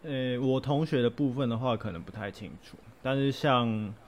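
A young man, a recent graduate chatting on a podcast, soft-spoken with brief agreeing replies. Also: medium pitch, moderate pace. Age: young adult. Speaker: co-host, recent university graduate. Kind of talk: casual chat.

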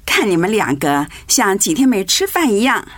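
A woman's voice: mid-range voice, sing-song, moderate pace, no echo. An actress playing a doting, fussy older mother and grandmother in a radio drama. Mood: coaxing, cheerful. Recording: music behind the voice.

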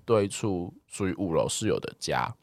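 A Taiwanese man talks as a podcast co-host in a lowish, very animated voice. His delivery is casual and sincere, and the recording is close and dry. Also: normal speed, no echo. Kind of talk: casual chat.

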